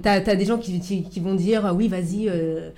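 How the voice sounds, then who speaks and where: A woman's voice: medium pitch, steady tone, normal speed, warm tone. Hesitant woman searching for words. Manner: hesitant, many restarts. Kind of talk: casual debate.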